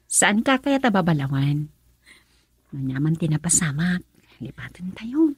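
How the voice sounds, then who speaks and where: A woman's voice: fairly low pitch, very animated, measured pace, breathy edge, dry recording, exaggerated voice. A woman playing a loyal, frank young friend who consoles, in radio drama style with a Filipino accent. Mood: consoling.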